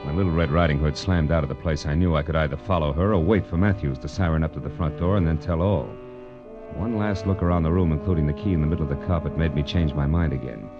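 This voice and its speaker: An actor playing a wry, world-weary private detective in 1940s radio noir, with clipped, sardonic delivery. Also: male voice, very deep voice, quick pace. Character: hard-boiled male private detective, wry and cool. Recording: dull vintage radio recording with music.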